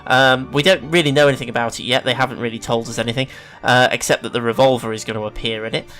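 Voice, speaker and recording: Man, English accent, sniffly, chatty, self-deprecating, mid-pitched voice, casual gameplay commentary. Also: steady tone, fast talker, fairly bright tone, soft background music. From England. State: sniffly with hay fever. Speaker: gaming video host. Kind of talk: casual let's play commentary.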